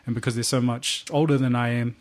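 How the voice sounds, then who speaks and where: A man's voice: medium pitch, a little fast. A young man with a New Zealand accent, a musician guest chatting casually and laughing often as he tells stories. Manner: relaxed, laughs often, slangy storytelling. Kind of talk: casual interview chat.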